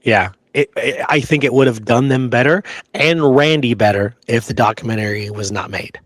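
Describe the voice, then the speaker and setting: A man's voice: medium pitch, normal speed, no room sound. Emphatic man talking history, close dry sound. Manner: emphatic, often tagging statements with "right?". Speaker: podcast co-host. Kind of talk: casual opinionated history discussion.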